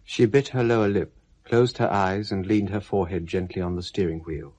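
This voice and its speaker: A man performing as the narrator of a radio space drama, measured, literary and portentous. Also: sits low, steady tone, a little mellow, a little hiss or hum, close to an everyday voice. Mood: hushed, descriptive.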